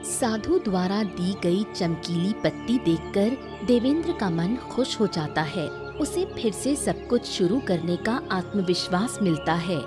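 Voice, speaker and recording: A woman's voice: medium pitch, expressive, unhurried, few pauses, music in the background. A woman reading scripted narration for a story.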